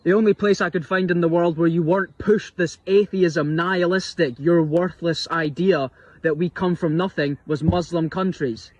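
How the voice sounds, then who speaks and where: A man's voice: a little high, steady tone, moderate pace, dry recording, lo-fi sound. A man delivering an impassioned, preachy monologue in an intense tone.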